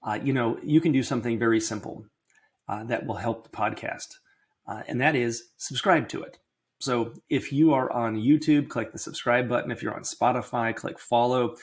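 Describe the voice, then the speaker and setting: A man's voice: medium pitch, normal speed. Slightly crackly man hosting a podcast. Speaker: podcast host. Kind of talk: casual personal health podcast monologue.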